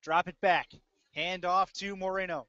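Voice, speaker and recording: Dull-sounding man calling football, higher-pitched. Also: fairly even intonation, a little slow, sharp tone, dry recording. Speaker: play-by-play announcer. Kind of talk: live American football commentary. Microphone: close mic, audio-only stream. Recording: dull lo-fi dry audio.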